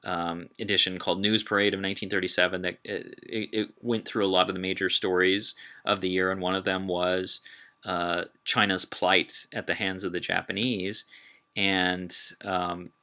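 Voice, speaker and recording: A man giving an informal history lecture in a halting way, with emphatic, dramatic delivery when quoting. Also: low-pitched, flat delivery, bright, forceful tone. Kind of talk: informal illustrated public lecture. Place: talk given to a live group.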